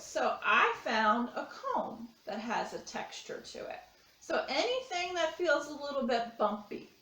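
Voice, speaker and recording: A woman's voice: a little high, a bit bright. A woman art teacher giving children a simple, step-by-step craft demonstration. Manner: simple, step-by-step explaining with repeated phrases. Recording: echoey room, distant microphone.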